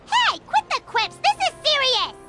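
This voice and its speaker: A woman's voice: very high voice, huge pitch swings, unhurried, over loud music, full character voice. A woman voicing a tiny, indignant fairy-like companion with a childlike, huffy manner in a cartoonish game style. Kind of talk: cartoonish video game voice acting.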